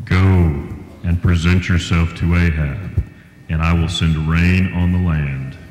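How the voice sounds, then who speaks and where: Man acting a commanding deity, low voice, solemn, Bible stage play, reverberant hall. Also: steady tone, a little slow, a little mellow. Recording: echoey hall, faint noise.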